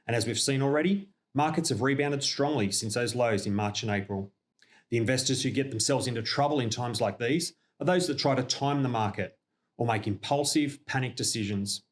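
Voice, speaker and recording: Australian man presenting a measured business update. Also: mid-range voice. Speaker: company representative presenting a newsreel update. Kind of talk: scripted informational narration.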